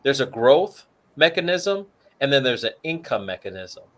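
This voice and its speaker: A man's voice: medium pitch, very animated, moderate pace, fairly bright tone. A man co-hosting a talk show, casually walking through retirement numbers with plenty of "you know" fillers. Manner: works through figures aloud, many fillers. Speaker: co-host.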